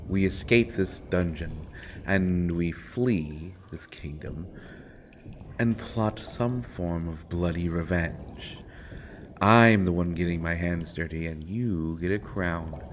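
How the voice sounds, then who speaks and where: A man playing a sardonic imprisoned assassin in a roleplay audio drama, speaking deliberately with wry mock courtesy and pointed pauses. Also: low-pitched, a little hiss or hum. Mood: teasing, unimpressed. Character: sardonic imprisoned male assassin, principled, coolly amused. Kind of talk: scripted roleplay audio drama, addressed to listener.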